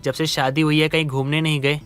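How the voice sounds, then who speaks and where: A man voicing a meek, patient husband in a Hindi story cartoon, speaking politely and hesitantly, pleading gently. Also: a little high, flat delivery, a little fast, clear, resonant tone, faint music behind, natural voice. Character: meek, patient middle-class husband, lovestruck and submissive. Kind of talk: Hindi animated story dialogue.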